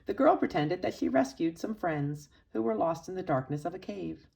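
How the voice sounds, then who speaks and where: A woman reading a children's picture book aloud expressively, with dramatic emphasis and pauses for young listeners. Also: on the low side, animated, gravelly, darkish tone. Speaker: storybook reader for children's church.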